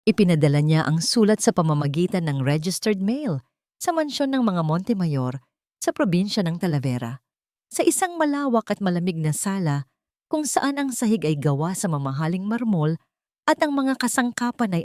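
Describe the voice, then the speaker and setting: A woman narrating a scripted dramatic story in a theatrical, expressive manner. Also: fairly low pitch, dry recording, clean studio sound.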